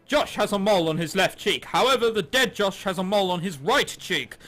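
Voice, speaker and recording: A man's voice: high-pitched, expressive, brisk, fairly bright tone. A man reading a visual novel aloud as a gaming host, with amused, sarcastic, sweary asides, over game soundtrack music. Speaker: host of a gaming video series.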